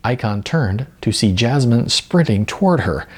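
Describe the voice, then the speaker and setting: A man narrating a scripted story as an audiobook reader, with a gravelly, husky voice. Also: mid-range voice, lively intonation, a little slow, heavy background noise.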